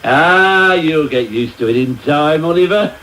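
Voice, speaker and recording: Unctuous undertaker, high animated voice, fussy, ghoulishly cheerful, radio drama, roomy old tape. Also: male voice, a little slow. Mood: jovial, unctuous. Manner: chuckling, unctuous, wordy. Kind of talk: British radio drama acting. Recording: roomy, noisy medium-wave radio tape with music.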